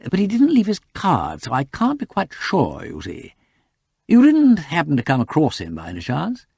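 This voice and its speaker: A man voicing a breezy, polite aristocratic gentleman in a story, rambling on in an upper-class English accent. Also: fairly high pitch, huge pitch swings, normal speed, vocal fry, husky, no echo, clean studio sound, pitched above the performer's own voice, exaggerated voice. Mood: chatty, casual.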